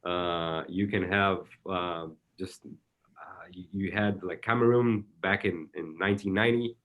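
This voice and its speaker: Brazilian guy, really low voice, keeps going "the, the, the" before he gets it out, chatting in an interview. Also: fairly even intonation, fairly bright tone. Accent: Brazilian Portuguese. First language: Portuguese. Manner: halting, repeats words often while searching for them. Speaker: soccer technical director. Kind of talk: casual interview answers.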